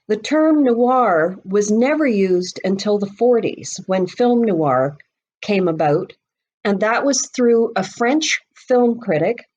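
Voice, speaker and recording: A middle-aged woman talks as a guest expert in an informal podcast conversation. Her pace is very slow and deliberate, with pauses between phrases, and her pitch sits in the middle range for a woman. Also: a little mellow, lo-fi sound. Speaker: guest expert on mystery fiction, bookseller and writer. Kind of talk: informal explanatory podcast talk.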